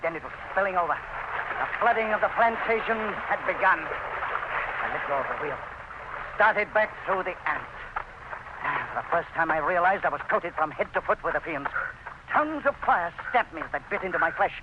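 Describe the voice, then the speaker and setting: Stubborn planter hero in old radio play, high voice, dramatic n halting, noisy old recording. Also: male voice, lively intonation, highs cut off. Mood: tense triumph. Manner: dramatic, halting, intense, broken phrases. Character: resolute, proud male planter battling swarming ants in agony. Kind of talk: old-time radio drama acting, first-person narration.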